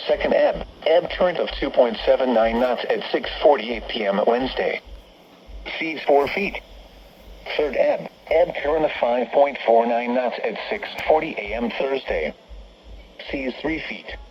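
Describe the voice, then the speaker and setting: A synthetic male voice with an American accent reads a scripted weather forecast in stilted, robotic phrasing as an automated radio announcer. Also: medium pitch. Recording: noisy, dull weather radio broadcast. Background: steady radio background noise.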